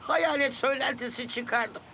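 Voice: high-pitched, normal speed, creaky, bright, forceful tone, slight background noise, heavily acted. An actor voicing a greedy, scheming property developer in a children's cartoon, with a gloating manner.

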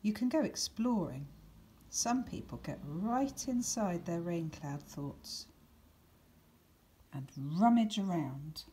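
A woman reads a picture book to children in a lowish, mellow voice. Her delivery is expressive and deliberate, with plenty of movement in pitch. There is faint noise in the recording. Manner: deliberate, expressive reading with dramatic emphasis and hushed words. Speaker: teacher reading a picture book aloud. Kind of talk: expressive scripted storybook narration for children.

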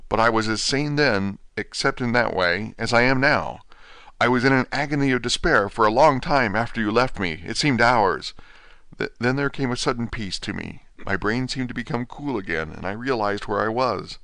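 A man's voice: mid-range voice, moderate pace, pushed and bright, clean tone, no room sound, voice pushed up. A man voicing a dying asylum patient, weak and frantic, pleading in urgent gasps. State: weak, near death.

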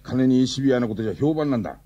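A man playing an excitable, defensive, hapless middle-aged father in a Japanese radio drama. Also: medium pitch, very fast, dull audio, lightly acted. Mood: resigned, bitter.

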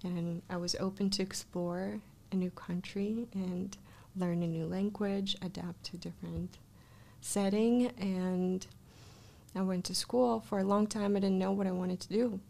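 A woman with a Russian accent and a noticeably creaky voice at a middling pitch. She talks casually about herself as a podcast guest, close to a studio mic. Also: fairly even intonation, unhurried, warm tone. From Russia, born in Kazakhstan. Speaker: life coach, podcast guest. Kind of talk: casual interview, telling personal story. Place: small podcast studio, indoors. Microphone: close studio microphone, headphones.

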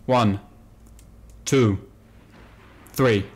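A man's voice: on the low side, very slow, darkish tone, slight background noise. A man role-playing a dwarf with his friends in a game, speaking in a polite, mock-formal way and laughing easily. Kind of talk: in-character role-play and casual commentary.

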